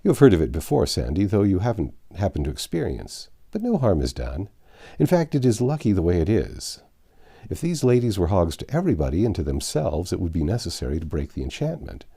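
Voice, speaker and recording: A man's voice: deep voice, wildly swooping pitch, brisk, vocal fry, warm tone, breathy edge, full character voice. A man reading a storybook part as a confident, wry American man who explains things with assurance. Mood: patiently explaining.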